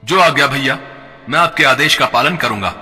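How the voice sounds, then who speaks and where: A man's voice: mid-range voice, moderate pace, sharp tone, pitched above the performer's own voice. A man voicing a loyal young prince in a devotional cartoon, talking in an earnest, respectful way. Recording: long reverb with background music.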